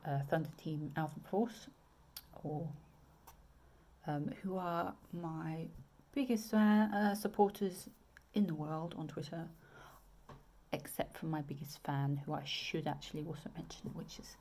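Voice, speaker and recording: A woman's voice: sits low, measured pace, pauses often, dark tone. A woman with a southern English accent, a romance author chatting casually to camera about her books, with many ums and restarts. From England.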